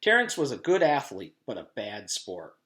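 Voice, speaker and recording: A man reading a children's chapter book aloud as a teacher does for students, in an animated storytelling style. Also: mid-range voice, moderate pace.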